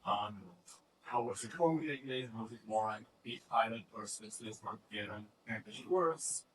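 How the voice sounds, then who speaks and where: Far-off mic, man, lowish voice, halting audience question, fragmented phrasing. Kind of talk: spontaneous question at a talk. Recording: distant mic, poor event audio.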